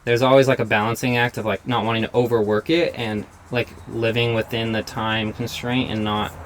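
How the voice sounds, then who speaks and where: A man's voice: mid-range voice, flat delivery, a little fast. A young man hosting a chat while he draws, talking casually and easily, with many "like"s. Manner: easygoing, fills his talk with "like". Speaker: host and portrait artist. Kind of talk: casual conversation while drawing. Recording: home recording with faint noise.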